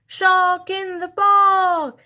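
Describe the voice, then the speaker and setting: A woman voicing an excited little boy who shouts warnings in a loud, drawn-out way. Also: very high voice, heavily acted. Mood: alarmed.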